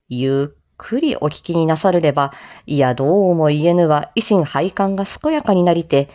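A woman reciting a theatrical Japanese-style sales-pitch monologue in a declamatory, sing-song way with playful touches. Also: mellow. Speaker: amateur voice drama performer, streamer. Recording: slightly dull lo-fi microphone sound.

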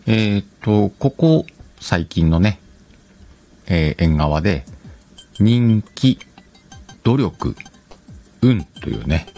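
A man's voice: low-pitched, dark tone. A man hosting a casual solo talk show, chatting in short, conversational phrases. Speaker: host of an audio talk show. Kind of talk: casual solo radio-style chat.

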